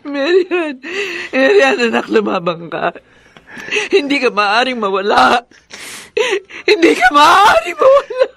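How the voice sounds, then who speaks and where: A woman's voice: sits high, huge pitch swings, measured pace, creaky, fairly bright tone, no room sound, exaggerated voice. An actress with a Filipino accent playing an anguished middle-aged mother in a radio drama, tearful and wailing as she pleads for her children. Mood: tearful pleading.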